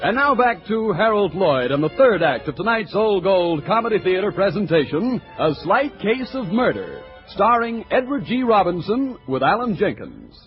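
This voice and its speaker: An American man announcing and pitching products in a folksy 1940s radio style. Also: very animated, normal speed, hardly any pauses. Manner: folksy salesmanship. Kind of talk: 1940s radio advertising read. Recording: dull 1940s radio recording with faint music.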